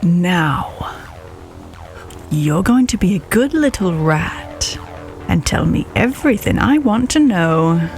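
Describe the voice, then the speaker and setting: A woman playing a serene, coolly mocking killer with a sweet, crisp voice in a radio drama. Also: lowish voice, big pitch swings, a little mellow, soft background music, exaggerated voice. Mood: cold menace. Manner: slow, deliberate, mock-polite.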